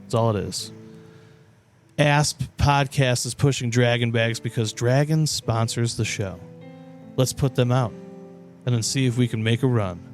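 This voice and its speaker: A man's voice: mid-range voice, a little slow. A man with a mid-range voice banters as a podcast co-host, laid-back and joking. Faint music sits behind his voice. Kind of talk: casual banter.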